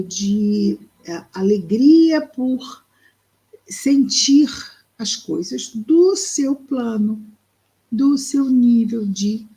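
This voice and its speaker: Mellow-voiced woman explaining a text slowly. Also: high voice, poor audio. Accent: Brazilian Portuguese. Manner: thoughtful, explains slowly with pauses and fillers. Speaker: study group presenter. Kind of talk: reading and explaining a spiritist book.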